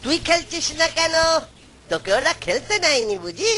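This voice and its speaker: A woman's voice: high voice, very animated, normal speed, pushed and bright, full character voice. A woman voicing a kindly, sly grandfatherly trickster man in a cartoon, with a playful, coaxing delivery. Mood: kindly, concerned. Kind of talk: cartoon voice acting. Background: background music.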